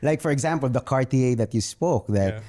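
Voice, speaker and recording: A man's voice: mid-range voice, moderate pace, warm tone. A man with a Filipino accent chatting casually as a guest host on a podcast. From Philippines.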